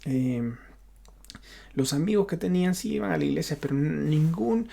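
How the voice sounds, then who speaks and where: A man from Honduras with a Honduran Spanish accent, a pastor giving an unscripted personal testimony, often halting and repeating himself as he searches for words. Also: a little high, expressive, vocal fry, mellow, slightly rough.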